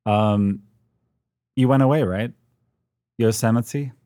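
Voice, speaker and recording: Guy, lowish voice, halting chat w lots of ums, dry close sound. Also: warm tone, no echo. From United Kingdom. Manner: hesitant, many ums and restarts, dry self-mocking humor. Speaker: podcast co-host. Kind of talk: casual podcast chat. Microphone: remote call.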